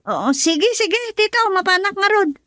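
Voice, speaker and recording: Emotional young filipina, ilocano accent, high voice, dry close sound, radio drama. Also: female voice, very animated, moderate pace, a bit bright, no echo, heavily acted. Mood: bright, affectionate. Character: young woman, emotional niece and sweetheart Linlin.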